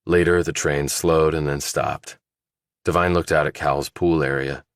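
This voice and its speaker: A man's voice: rumbling low, fairly even intonation, measured pace, no room sound, studio quality. A man reading a scripted thriller audiobook as its narrator.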